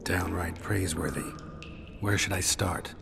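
An actor playing a stoic, battered monster slayer in a video game, gravelly, laconic and dryly sarcastic. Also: male voice, low-pitched, steady tone, unhurried, background noise, exaggerated voice. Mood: stoic, sardonic.

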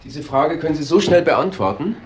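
An actor playing a blunt male police detective in a crime drama, husky and pointed in his questioning. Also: fairly high pitch, expressive, normal speed, full character voice. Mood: accusing. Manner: pointed, direct. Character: blunt, accusing junior police detective, man.

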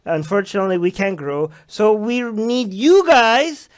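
High-voiced jokey male host. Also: very animated, unhurried. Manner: jokey, repeats himself, laughs often. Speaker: show host. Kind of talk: casual livestream chat, teasing.

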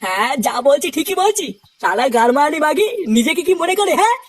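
A man voicing a hard-drinking, henpecked old father in a comedy cartoon, crude and blustering, with a pitched-up voice. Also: very animated, a little fast, distant mic. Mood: defiant, boastful. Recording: music bed behind the voice.

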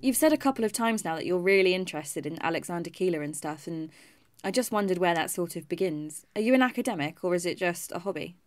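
An actress playing a polite, hesitant podcaster asking questions. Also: female voice, lowish voice, animated, quick pace, no echo, close to an everyday voice. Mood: curious. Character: young female podcaster interviewing sources.

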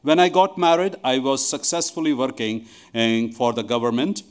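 A man's voice: medium pitch, steady tone, normal speed, smooth. A middle-aged man speaking to a congregation from a church lectern microphone. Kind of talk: addressing a congregation, reading a testimony aloud. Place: large church hall, indoors.

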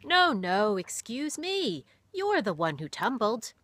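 A woman voicing a cheerful, learned young pastor who speaks loudly and kindly. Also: fairly high pitch, very animated, slow, pitched above the performer's own voice, exaggerated voice.

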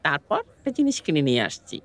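A man's voice: on the high side, huge pitch swings, quick pace, fairly bright tone, full character voice. A young man with a Bangladeshi accent playing a caring, slightly awkward friend in a short-film drama, with a hesitant, wordy way of talking. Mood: awkward, concerned. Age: young adult.

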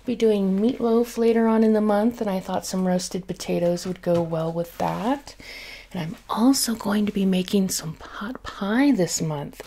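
A woman in her thirties from the United States, chatting softly in an ASMR grocery haul in her home kitchen. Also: mid-range voice, steady tone, measured pace, a little hiss or hum. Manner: soft-spoken, chatty, laughs often. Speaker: ASMR creator.

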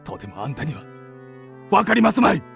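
A man's voice: high-pitched, very animated, brisk, vocal fry, fairly bright tone, faint music behind, exaggerated voice. A man playing a blustering, hot-headed creditor given to impulsive declarations in a theatrical radio drama. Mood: ardent.

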